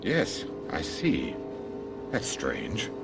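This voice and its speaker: Radio mystery narrator-lead: calm, protective man, deep register, matter-of-fact gravity, clear musical backing. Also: very slow, loud music behind the voice, exaggerated voice. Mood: concerned, firm. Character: steady, protective male friend narrating a strange mystery.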